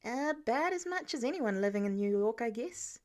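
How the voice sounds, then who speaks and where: A woman's voice: on the high side, expressive, moderate pace, close to an everyday voice. A woman voicing a polite, nervous young man who feigns innocence and hesitates as he talks, in an audiobook. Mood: feigned innocence. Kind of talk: audiobook character dialogue.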